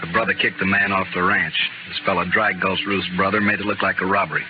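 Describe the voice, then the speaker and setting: A dull, hissy vintage radio recording of a man playing a vengeful frontier gunman. His voice is deep and grim, his lines clipped and bitterly insistent, in old western drama style. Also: fairly even intonation, fast talker, close to an everyday voice. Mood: grim, resolved. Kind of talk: 1950s radio western acting. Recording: muffled, noisy old radio broadcast.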